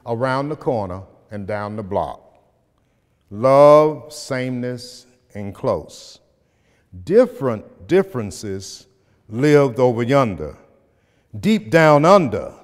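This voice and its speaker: A middle-aged man telling stories and reciting his poetry with slow, deliberate phrasing and dramatic pauses, recorded by a distant camera in a large hall. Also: mid-range voice, smooth. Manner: slow, deliberate, dramatic pauses, rising to loud emphasis in verse. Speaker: poet and community speaker. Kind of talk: storytelling talk and spoken-word poetry. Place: large bright hall with tall arched windows, indoors. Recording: hall reverb from a large room.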